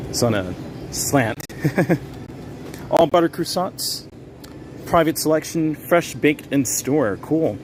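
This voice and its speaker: A man's voice: mid-range voice, background noise. Chatty man livestreaming in a grocery store. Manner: chatty, reads chat names aloud, frequent fillers and small laughs. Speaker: livestream host. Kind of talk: casual live-stream chat while shopping.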